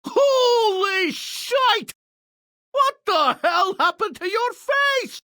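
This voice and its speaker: A man's voice: extremely high pitch, animated, extremely slow, bright, forceful tone, no echo, studio quality, exaggerated voice. An actor playing a cowardly, callous middle-aged merchant father in a comic fairy-tale game, whining, pompous and melodramatic. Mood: cowardly panic. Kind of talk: comic video game cutscene acting.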